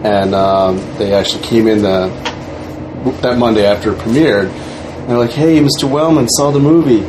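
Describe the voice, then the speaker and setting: A man's voice: fairly low pitch, normal speed. A man being interviewed, telling a casual anecdote and acting out the people he quotes. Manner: acts out quoted exchanges. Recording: noisy room with background hum.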